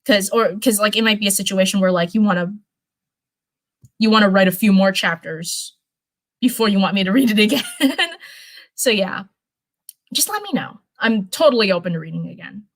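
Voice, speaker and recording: A woman's voice: mid-range voice, lively intonation, moderate pace, long pauses. A woman streamer chatting informally and reading fan fiction aloud, often repeating herself as she goes. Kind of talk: casual livestream chat and read-aloud storytelling.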